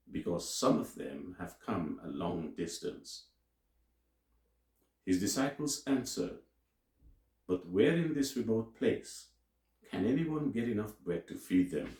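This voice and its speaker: A man reading scripture aloud as a teacher, in a solemn, deliberate and emphatic way with dramatic pauses. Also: on the low side, dark tone. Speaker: Bible reader and teacher. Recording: roomy, echoing sound.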